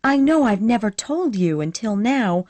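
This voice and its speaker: A woman's voice: fairly high pitch, very animated, a little slow, a little mellow, dry recording, voice pushed up. A woman reading the part of a passionate, impulsive young woman in a storybook, speaking in a breathless, pleading way with rising emotion.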